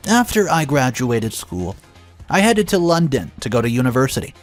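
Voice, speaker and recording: A man narrates a first-person story in a calm, steady way, his voice sitting at an ordinary middle pitch. He reads at an unhurried pace and slips in a filler now and then. Soft music plays faintly underneath. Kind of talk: reading a first-person drama story aloud.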